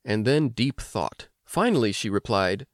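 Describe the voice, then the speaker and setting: An American man hosting an instructional language podcast, explaining things clearly like a teacher. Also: on the low side, expressive, measured pace, dry recording, studio quality. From United States. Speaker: language lesson host and teacher. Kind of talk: instructional podcast narration with personal anecdotes.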